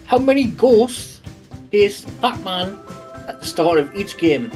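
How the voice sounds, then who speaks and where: Brief: male quizmaster, playful and theatrical, bouncy pitch, light music. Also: expressive, takes it slowly, low-quality recording. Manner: playful, theatrical quiz delivery. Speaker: quizmaster host. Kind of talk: comedy podcast quiz.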